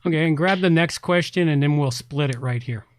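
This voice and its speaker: A man's voice: fairly high pitch, fairly quick, no room sound, clean studio sound. A middle-aged man hosting a podcast, talking casually in a rambling, blunt, informal way. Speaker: podcast host and website consultant. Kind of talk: casual tech podcast talk.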